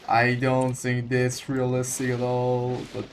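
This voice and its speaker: Male gaming streamer, unhurried slow speech, mid-range voice, casual play-along commentary, slight background noise. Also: medium pitch, completely flat delivery.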